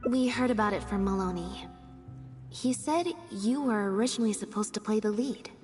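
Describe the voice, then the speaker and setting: A woman's voice: medium pitch, normal speed, a little mellow, faint music behind, natural voice. A woman voicing a cool-headed, wry young woman in a video game, laid-back and dry in manner. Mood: reassuring.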